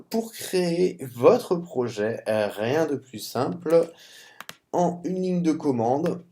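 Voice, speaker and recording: Brief: male tutorial presenter with a French accent, stuffy with a cold, mellow dark tone, halting delivery. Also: a little high. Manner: halting, stumbles and restarts words. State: has a cold. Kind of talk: screen-recorded coding tutorial.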